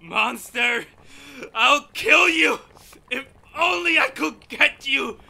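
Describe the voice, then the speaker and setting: An anguished man played by a male actor in a retro radio horror play, grieving and vengeful. His voice is very high and piercingly bright, with a gravelly creak. He is melodramatic and tearful. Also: expressive, slow, full character voice. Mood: anguished rage. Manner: melodramatic, impassioned.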